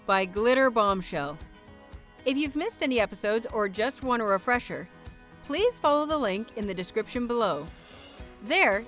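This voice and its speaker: A woman hosting and narrating a fiction audiobook in an expressive, carefully enunciated storytelling style. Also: fairly high pitch. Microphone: close microphone, dry recording.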